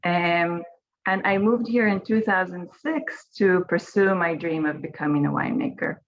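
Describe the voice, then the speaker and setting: Israeli-accented woman, native Hebrew speaker, low voice; eager panelist over a dull video call line. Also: moderate pace. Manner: friendly, eager, with small restarts. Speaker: winemaker panelist. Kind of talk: personal story on a webinar panel. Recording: lo-fi webinar audio.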